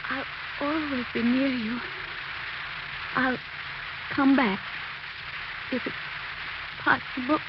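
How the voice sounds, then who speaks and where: A frail, devoted wife on her deathbed in a 1940s radio melodrama. Her high voice is weak and faltering, drawn out very slowly. The old recording is muffled and noisy. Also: fairly even intonation. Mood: faint, tender.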